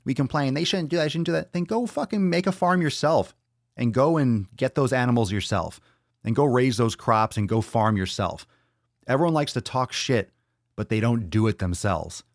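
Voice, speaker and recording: A man's voice: medium pitch, normal speed, dry recording. A young man hosting a solo podcast, ranting bluntly and emphatically with frequent swearing into a close mic. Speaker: podcast host.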